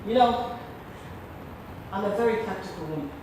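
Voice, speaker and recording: A woman playing an imperious, scheming middle-aged wife and mother in a stage play, theatrical and deliberate. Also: medium pitch, natural voice. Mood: mocking, calculating. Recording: distant, echoey, noisy theatre recording.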